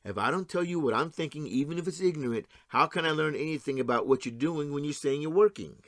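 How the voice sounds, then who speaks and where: A man's voice: fairly high pitch, fast, a bit bright, no echo. An actor playing a resentful, combative blue-collar man in an audio drama, plain-spoken, sardonic and argumentative. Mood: wheedling. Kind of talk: stage-style audio drama acting.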